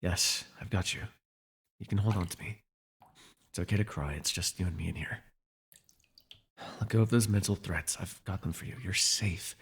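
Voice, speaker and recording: A man's voice: low-pitched, moderate pace, long pauses, husky, exaggerated voice. A man playing a sardonic telepath villain who is a reluctant, protective mentor in a superhero audio roleplay, intense and emotive. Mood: tender, soothing. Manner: intense, emotive, swinging between biting and tender.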